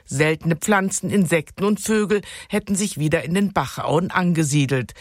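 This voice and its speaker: A woman's voice: fairly low pitch, normal speed, no room sound. A woman narrates a scripted radio news report. Her delivery is factual, and the recording is dry, without room sound.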